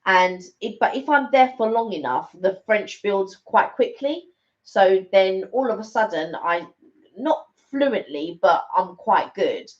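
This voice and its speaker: A woman's voice: mid-range voice, animated, a little slow. A woman co-hosting a live stream in casual, informal chat, readily responding to her partner. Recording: dull, lo-fi livestream audio.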